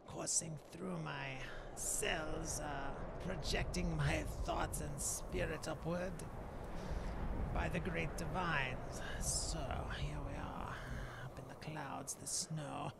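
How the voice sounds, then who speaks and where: A man voicing a proud, stubborn male dark elf shaman in a fantasy game roleplay, musing haltingly in formal, old-fashioned phrasing. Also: a little high, creaky, noisy background. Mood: curious, musing. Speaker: gameplay host roleplaying in character. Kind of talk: improvised in-character fantasy game narration.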